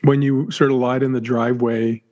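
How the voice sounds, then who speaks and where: Flat-voiced halting podcast host. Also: male voice, medium pitch, dull audio. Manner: halting, searching for words with many ums and restarts. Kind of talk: casual podcast interview.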